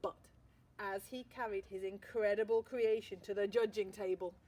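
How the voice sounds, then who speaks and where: A woman teacher reading a picture book aloud, expressive storytelling with dramatic pauses. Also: medium pitch. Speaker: primary school teacher.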